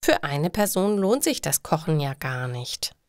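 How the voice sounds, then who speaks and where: A woman's voice: deep voice, big pitch swings, a little slow, dry recording, clean studio sound. A woman voicing an eager, inviting best friend in a story. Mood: delighted, inviting.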